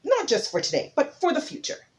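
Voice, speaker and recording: A woman voicing a proud male hippopotamus king in a folktale, speaking in loud, pompous proclamations. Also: high-pitched, huge pitch swings, fairly quick, voice pushed up. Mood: boastful. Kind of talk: theatrical folktale storytelling.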